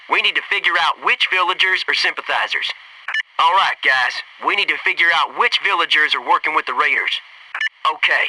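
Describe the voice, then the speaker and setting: An actor playing a brash, wisecracking young American Navy SEAL in a military shooter game, with a cocky, folksy, punchy delivery. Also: male voice, high voice, far from the mic, noisy background.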